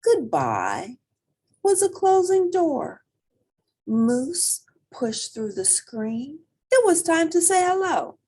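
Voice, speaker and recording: A woman reading a children's picture book aloud as an expressive storyteller with theatrical emphasis. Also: extremely high pitch, slow pace.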